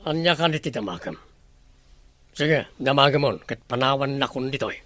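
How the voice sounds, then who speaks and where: A man with an Ilocano accent playing an irritable, menacing master of the house in a radio drama, with a gravelly voice. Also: medium pitch, lively intonation, normal speed, a bit bright, no room sound, lightly acted. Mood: irritated, menacing.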